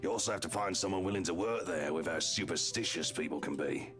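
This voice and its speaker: A man playing a jovial, boastful married police officer who flatters socialites, chatty and theatrical, in a video game. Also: low voice, fast talker, lightly acted. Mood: enthusiastic. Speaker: character voice actor in a visual novel. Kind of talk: voiced game dialogue. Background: music.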